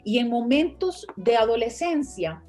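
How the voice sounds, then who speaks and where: A woman explains her ideas step by step as the guest in an online conversation. Her voice sits a bit high, and soft music plays underneath. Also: big pitch swings, measured pace. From Costa Rica. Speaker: finance teacher and guest. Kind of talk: online interview, teaching.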